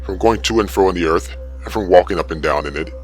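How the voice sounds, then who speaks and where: A man acts out a sly accusing spirit in a dramatic scripture reading. His voice sits low, with an insinuating, theatrical push on the words and faint music behind it. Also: quick pace, close to an everyday voice. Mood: challenging.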